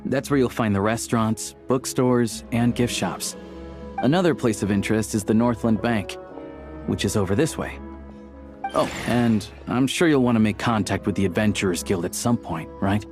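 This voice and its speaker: A man's voice: on the low side, moderate pace, natural voice. A man playing a friendly young local guide in a video game, explaining things in a casual, helpful way. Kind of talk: video game cutscene dialogue. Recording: music playing behind the voice.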